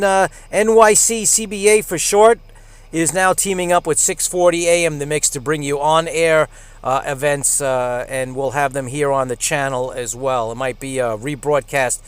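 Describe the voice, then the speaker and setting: Higher-pitched man hosting a radio announcement. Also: fairly even intonation, moderate pace, clear, resonant tone, no room sound. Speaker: radio host. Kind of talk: station announcement talk to listeners.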